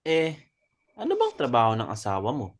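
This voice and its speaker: A man's voice: mid-range voice, wildly swooping pitch, normal speed. A man acting a skeptical but supportive club member in a dramatic reading, candid and questioning. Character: Tom, skeptical, supportive male club member, recovering alcoholic.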